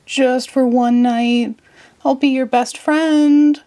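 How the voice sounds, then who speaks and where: A woman voicing a childlike, slow-witted but enthusiastic male cartoon starfish in a storybook. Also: high-pitched, steady tone, dark tone, voice pushed up. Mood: eager, pleading. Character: dim, friendly, childlike male cartoon starfish best friend.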